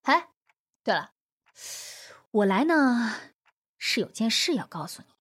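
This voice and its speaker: Woman acting a brazen lovesick girl in an audio drama, taunting, kinda husky, pitch all over, music behind. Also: very animated, unhurried, fairly bright tone, full character voice. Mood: smug, taunting. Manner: taunting, emotionally volatile. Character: brazen, possessive young woman in unrequited love.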